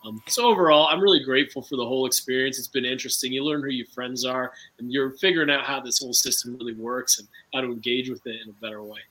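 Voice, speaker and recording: Plain earnest guy, guest on a video chat, brightish voice. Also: mid-range voice, fairly even intonation, fast, hardly any pauses, rough recording. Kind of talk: casual interview.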